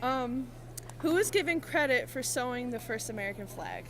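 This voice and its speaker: A woman's voice: on the high side, expressive, normal speed. A young woman interviewing people in a casual, chatty street quiz, informal and giggly in manner. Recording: busy public crowd noise behind.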